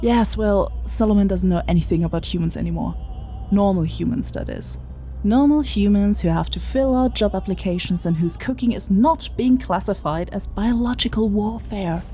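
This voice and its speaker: A woman's voice: medium pitch, moderate pace, mellow, faint music behind, close to an everyday voice. A woman playing a witty, self-doubting human intermediary in an audio drama, with a conversational, hesitant manner. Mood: exasperated wit.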